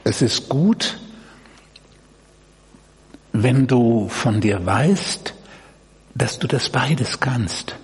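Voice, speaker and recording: Slow man guiding meditation in echoey hall. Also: medium pitch, animated. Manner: gentle instruction in short phrases with long pauses. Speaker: meditation guide. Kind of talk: guided body-awareness meditation. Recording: echoey hall, distant mic, noisy.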